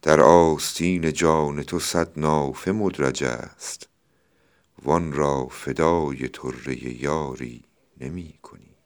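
An extremely deep male voice. He speaks as a podcast host presenting classical poetry, moving between recitation and informal explanation.

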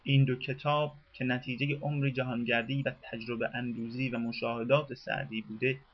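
Man narrating in formal, measured tones. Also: mid-range voice, little pitch movement, highs cut off. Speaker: radio narrator. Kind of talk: scripted documentary narration.

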